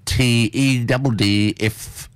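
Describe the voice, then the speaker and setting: A man's voice: medium pitch, fairly even intonation, slow pace, smooth, no room sound. A man hosting community radio from a studio, chatting casually in a folksy, rambling way. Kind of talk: casual chat, interviewing a studio guest. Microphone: close studio microphone.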